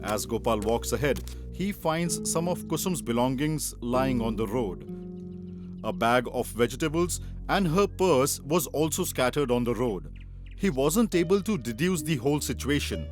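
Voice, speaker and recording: A man's voice: mid-range voice, big pitch swings, moderate pace, over music. A man narrating a children's story as a scripted read.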